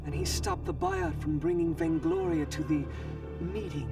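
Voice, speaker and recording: An actor playing a pompous, sardonic cartoon villain who scheme-talks theatrically. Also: male voice, on the high side, huge pitch swings, normal speed, vocal fry, far from the mic, music in the background, heavily acted. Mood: sly, theatrical. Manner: pompous, quoting grandly, sardonic. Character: theatrical, mocking, scheming villain devoted to his mother. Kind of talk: cartoon voice acting.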